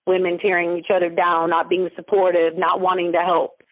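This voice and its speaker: A woman's voice: on the low side, completely flat delivery, normal speed, no echo. A woman guest who mentors others and runs businesses, talking emphatically and piling up repeated motivational phrases. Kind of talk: interview over a phone line. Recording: dry, tinny phone-line audio.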